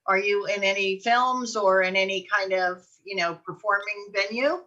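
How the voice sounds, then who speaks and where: A woman's voice: mid-range voice, fairly even intonation, normal speed, sharp tone, dull audio. An older woman co-hosting a show, talking in a chatty, effusive way in casual conversation. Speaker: podcast co-host and producer. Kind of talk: casual chat.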